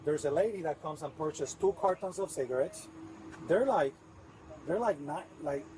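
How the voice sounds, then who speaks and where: A man's voice: fairly high pitch, moderate pace, darkish tone, slight background noise, low-quality recording. A man with a Puerto Rican accent, a police officer patiently explaining a case step by step at a front door. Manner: patient, detailed, methodical. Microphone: body camera.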